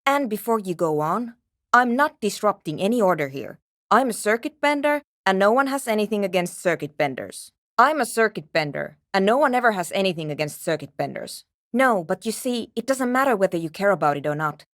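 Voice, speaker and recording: A woman's voice: medium pitch, sing-song, fairly quick, a bit bright, dry recording. An actress playing a curt, skeptical, world-weary woman programmer in a video game, speaking in a brusque, dry, dismissive way. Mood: stubborn, defensive. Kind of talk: video game character dialogue.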